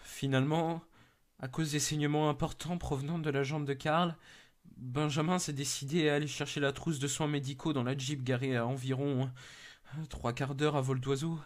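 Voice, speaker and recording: A man's voice: fairly high pitch, steady tone, normal speed, close to an everyday voice. A man playing an urgent, frightened member of a search party making radio reports in a horror drama. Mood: grave, reporting. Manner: urgent, whispering, breaking into screams and sobs. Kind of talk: dramatised horror story acting.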